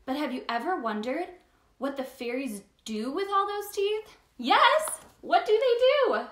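Woman acting as bubbly storytime fairy, high bright voice, sing-song, exaggerated delivery for little kids, echoey room. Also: sing-song, a little slow, full character voice. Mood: playful, wondering. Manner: exaggerated, sing-song, talks directly to the child listener. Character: cheerful, bubbly Tooth Fairy telling stories to children. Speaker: children's story time host. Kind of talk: animated read-aloud for young children.

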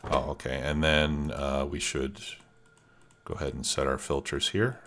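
A man's voice: rumbling low, little pitch movement, unhurried, fairly bright tone. A man with a stuffed-up cold, casually commenting on his gameplay as a let's play host, thinking aloud with many fillers. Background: background music and keyboard typing.

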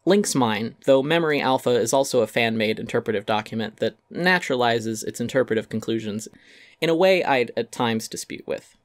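A man's voice: mid-range voice, expressive, a little fast, no echo. A man giving a dramatic reading of a critical essay, wry and articulate with theatrical emphasis. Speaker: essay narrator.

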